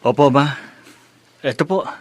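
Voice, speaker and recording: A nervous, deferential young Filipino son in a video drama, played by an actor, speaks with hesitation and reluctance. The recording is dry, with no room sound. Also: male voice, a little high, measured pace, slightly rough, dry recording. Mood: worried. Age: young adult. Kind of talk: scripted Tagalog video drama acting.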